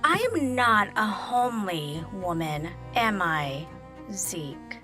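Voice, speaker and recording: An actress playing an eccentric, forward spinster on the hunt for a husband in a comic audio play, genteel and coy with menacing undertones. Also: female voice, mid-range voice, expressive, takes it slowly, sharp tone, soft background music. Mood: insistent, genteel.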